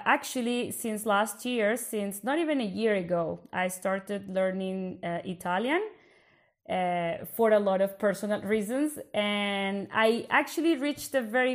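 A young Colombian woman with a Spanish accent, co-hosting a podcast in a thoughtful, casual way with fillers. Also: mid-range voice. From Bogotá, Colombia. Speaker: podcast co-host. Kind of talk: casual chat.

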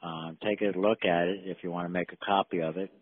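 A man leaving an unhurried, conversational work voicemail over the telephone. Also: low-pitched, steady tone, husky, no echo. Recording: dry, narrow telephone voicemail line.

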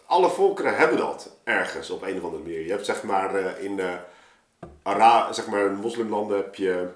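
A man's voice: on the low side, lively intonation, brisk. A man telling a story casually as a podcast guest, rambling along with plenty of colloquial tags. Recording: roomy, echoing podcast recording.

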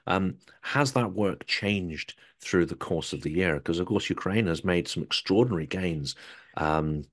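A male interviewer with a very deep, low-pitched voice. He asks loose, roundabout questions and fills them with hedges and qualifiers as he goes. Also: animated, a little slow. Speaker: interview host.

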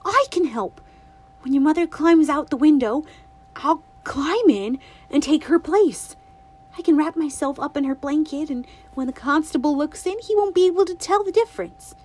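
A woman voicing an earnest, anxious little girl who pleads in a high, breathless voice in an audiobook. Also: animated, moderate pace, darkish tone. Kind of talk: audiobook reading.

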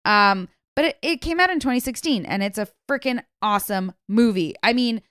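A woman's voice: a little high, lively intonation, brisk, a bit bright, clean tone, no echo, studio quality. A woman of Serbian family co-hosting a casual movie talk, candid and opinionated, often correcting herself mid-sentence. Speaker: film podcast co-host. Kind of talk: casual movie review chat.

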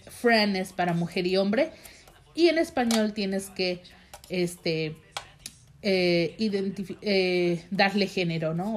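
Slow, chatty Mexican woman telling a story. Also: fairly low pitch. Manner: chatty, rambling, heavy use of fillers, addresses viewers directly. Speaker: vlogger telling a personal story. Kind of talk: casual storytime monologue.

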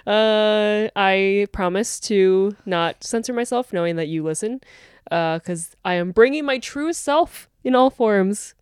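A woman's voice: mid-range voice, a little slow, smooth, no echo, studio quality. An American woman co-hosting a podcast with casual, chatty, informal and jokey banter. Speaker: podcast co-host.

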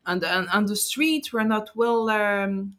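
A woman with a French accent talks in a relaxed podcast conversation. She pauses, restarts and hunts for the right word, and her voice sits at an ordinary middle pitch. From French and Algerian. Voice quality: hesitant, searching for words, laughs often. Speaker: podcast guest. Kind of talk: casual chat.

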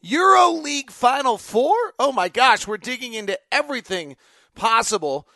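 A man's voice: very high voice, animated, normal speed, creaky, bright, forceful tone, no echo, studio quality. An American man hosting live sports commentary, with an emphatic, conversational announcer's delivery. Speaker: sports podcast host; radio play-by-play announcer.

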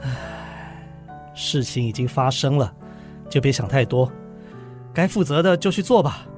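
An actor playing a patient, dutiful husband in a literary radio drama, speaking in a soothing, earnest, sighing way. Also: male voice, medium pitch, animated, unhurried, over music, close to an everyday voice. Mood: resigned, grave. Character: patient, dutiful husband calming his panicking wife.

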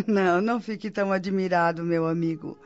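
Male actor as patient, didactic spirit mentor, Brazilian radio drama. High voice, reassuring delivery, faint music underneath. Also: steady tone, fairly quick, dull audio, natural voice. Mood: patient, earnest.

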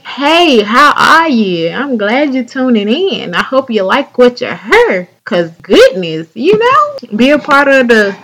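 A woman's voice: sits high, fairly bright tone, low-quality recording. A young woman from North Carolina with a Southern accent, hosting a casual solo podcast in a chatty, candid, drawling way. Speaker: podcast host.

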